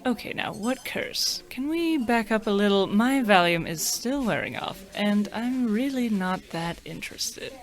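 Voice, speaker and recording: A woman playing a bored, unimpressed wife in a comic radio play, deadpan and drowsy. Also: mid-range voice, measured pace, hardly any pauses, lightly acted.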